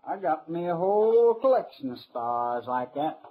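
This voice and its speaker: An actor playing a cocky, menacing young cowboy gunslinger in 1950s radio western style, with a taunting drawl. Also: male voice, fairly high pitch, sing-song, normal speed, narrow, tinny audio, slight background noise.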